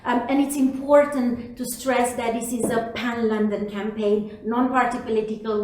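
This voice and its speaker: A woman's voice: medium pitch, dark tone, heavy reverb, recorded from a distance, poor audio. A woman from Romania, a city official, answering interview questions in an earnest, campaigning way.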